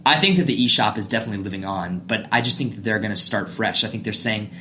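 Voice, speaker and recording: A man's voice: lowish voice, fast, bright, forceful tone, slight background noise. A man hosting a casual gaming podcast, chatting in an enthusiastic, opinionated way. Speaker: podcast host.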